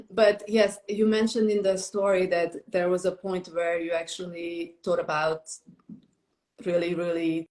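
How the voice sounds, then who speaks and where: A woman from Romania interviewing a contributor in a casual, enthusiastic chat, with frequent fillers. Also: on the low side. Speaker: interviewer, book compiler. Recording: echoey room.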